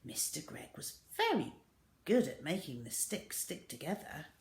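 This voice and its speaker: Female storyteller for children: unhurried, highly varied intonation, roomy home recording. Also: huge pitch swings. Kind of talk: expressive children's story reading.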